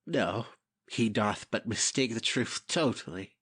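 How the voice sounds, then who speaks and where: A cynical lord of a Shakespeare play, acted by a man. His voice sits a little low and moves at a leisurely pace. He sneers through dry, witty remarks. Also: lively intonation, a little slow, a bit bright, slightly rough, exaggerated voice. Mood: mocking. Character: mocking, cynical nobleman, idle wit. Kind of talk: dramatic Shakespeare audiobook reading.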